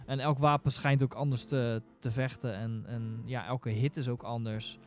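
A man's voice: medium pitch, little pitch movement, normal speed, faint music behind. A man in a casual gaming discussion, searching for words and repeating himself as he goes. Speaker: podcast panelist. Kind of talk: casual discussion about games.

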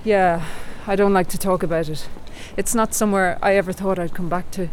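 Woman acting middle aged irish lady, radio drama, lowish voice, dry and reserved, bit of background noise. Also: fairly even intonation, a little fast, lightly acted. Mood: withdrawn. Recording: faint background ambience. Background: faint ambient noise.

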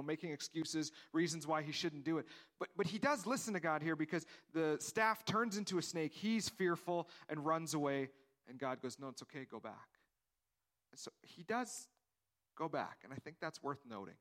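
A man's voice: fairly high pitch, a bit bright. Man preaching a conversational sermon. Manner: conversational preaching, acting out dialogue; repeats words, restarts phrases. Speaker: pastor.

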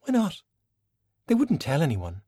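A man's voice: on the high side, huge pitch swings, a little slow, mellow, husky, no echo, studio quality, voice pushed up, full character voice. A man voicing a stubborn, idealistic young woman sorcerer who protests earnestly in an audiobook. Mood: defiant.

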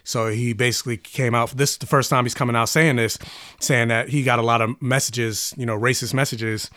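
A young man co-hosting a casual chat show, talking quickly through entertainment news with frequent restarts and laughs. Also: medium pitch, steady tone, bright, forceful tone. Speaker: co-host.

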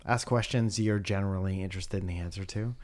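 A man with a lowish voice talks through a casual podcast chat. He ribs his co-host with dry jokes and quick banter, and he has settled views on routines and conversation. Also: fairly even intonation, a little mellow. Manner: joking, teasing, quick banter.

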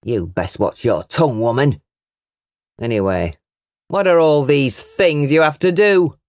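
A woman voicing an angry, bullying middle-aged farm uncle in a storybook, booming and coarse. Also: unhurried, no echo, studio quality. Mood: sneering, angry. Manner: coarse, shouting and sneering.

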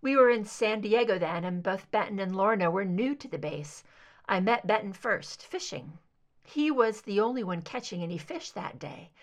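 A woman voicing a skeptical, guarded female investigator in an audiobook, measured and matter-of-fact. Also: mid-range voice, lively intonation, natural voice. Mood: candid, reminiscing. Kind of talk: fan fiction audiobook dialogue.

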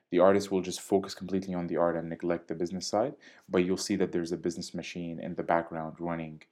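A young man with a low, deep voice talks in a calm, measured way. He is articulate and diplomatic, and he explains his views at length in a podcast interview. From Syria. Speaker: DJ and guest.

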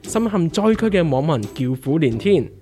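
A man's voice: a little high, very animated, moderate pace, warm tone, faint music behind. A man playing a level-headed, wry character who reports news emphatically in a comedic radio drama, with a Hong Kong Cantonese accent. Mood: dramatic reporting. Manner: emphatic, wry newsreader-like delivery.

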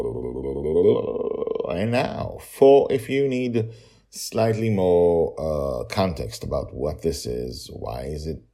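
A man's voice: extremely low pitch, dark tone. A middle-aged man hosting his own story podcast, chatting casually and self-mockingly through the outro and credits. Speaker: podcast author and narrator.

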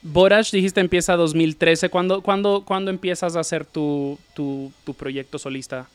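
Guy hosting, higher smooth voice, dry close sound, keeps restarting words. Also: steady tone, moderate pace, dry recording. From not Chilean, lived in Chile. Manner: hesitant, restarts often, laughs easily. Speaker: interviewer host. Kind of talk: casual interview chat.

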